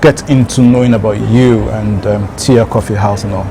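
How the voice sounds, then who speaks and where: A man hosting an interview casually, friendly and quick to laugh. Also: fairly low pitch, steady tone, dark tone, slight background noise. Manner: friendly, laughs often, brief backchannels. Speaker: host, channel founder.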